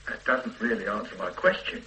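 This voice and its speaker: A man's voice: lowish voice, big pitch swings, a little fast, pushed and bright, slight background noise, poor audio. An actor playing a blunt male police inspector in a radio drama, probing and sardonic, turning threatening when a witness holds back. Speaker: police detective questioning a witness. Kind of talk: 1970s-80s radio drama acting.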